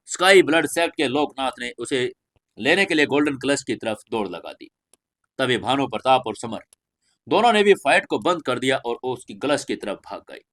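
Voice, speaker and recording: A man's voice: medium pitch, a bit bright. A man reading a scripted fantasy audio story as narrator, with emphatic, dramatic storytelling delivery.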